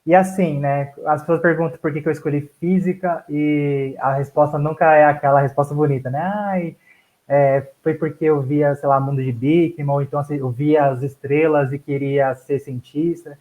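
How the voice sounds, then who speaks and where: A man in his thirties telling his own story in a debate, his voice sitting a bit high. He talks casually and haltingly, often restarting his sentences. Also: fairly even intonation, darkish tone, rough recording. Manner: hesitant, many restarts and fillers. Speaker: physics PhD student and council candidate.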